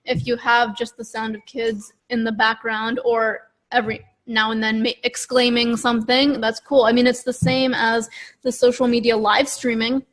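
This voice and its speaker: A woman's voice: fairly high pitch, level tone, normal speed. A woman podcast co-host chatting casually and informally, with plenty of "like" and "sort of". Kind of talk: casual conversational podcast chat. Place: recorded over an online video call.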